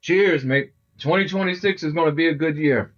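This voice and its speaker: Man, middle-aged, loud voice, chatty, a bit high, game streamer. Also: moderate pace, lo-fi sound. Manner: loud, chatty, talks to viewers. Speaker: livestream host. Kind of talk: casual gaming livestream chat.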